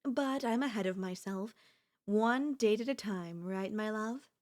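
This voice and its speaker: A woman's voice: medium pitch, measured pace, a little mellow, close to an everyday voice. A woman playing a doting, possessive girlfriend in an ASMR audio roleplay, speaking in flowery, coaxing endearments with a teasing elegance. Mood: delighted. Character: doting, possessive yandere girlfriend, sweetly insistent and theatrical.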